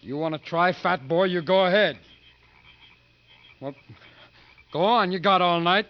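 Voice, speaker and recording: A man's voice: sits high, measured pace. A man acting as a tough, defiant prison trustee in a 1950s American radio suspense drama, terse and hard-boiled. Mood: taunting. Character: tough, defiant male prison trustee who narrates his story. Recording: muffled, noisy 1950s radio recording.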